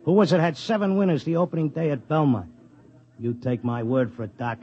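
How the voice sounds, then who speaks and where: An actor playing a streetwise Broadway race tipster, talking blunt and slangy in a 1950s radio drama. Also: male voice, fairly high pitch, steady tone, fairly quick, husky, highs cut off. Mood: determined. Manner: slangy, blunt, tough-guy talk. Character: streetwise Broadway racetrack tipster, devoted husband. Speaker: narrator and lead.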